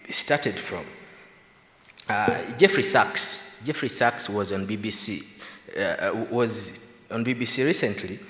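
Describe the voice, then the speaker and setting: Low-pitched male panelist, lively and argumentative, long hall reverb. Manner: animated, argumentative, laughs between points. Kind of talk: panel discussion remarks. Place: large conference hall.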